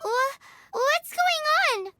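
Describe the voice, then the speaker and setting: Extremely high, squeaky little fairy sidekick. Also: female voice, expressive, very slow, pushed and bright, a little hiss or hum, exaggerated voice. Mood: puzzled. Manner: squeaky, childlike, refers to self in third person. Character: tiny childlike female fairy companion, cheeky and excitable. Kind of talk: cartoonish video game voice acting.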